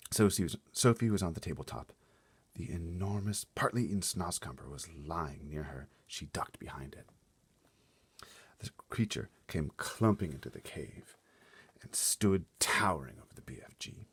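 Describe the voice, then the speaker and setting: Low, husky man reading a storybook. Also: dry recording. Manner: dramatic, with brief playful asides. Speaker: story reader. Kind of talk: expressive storybook narration.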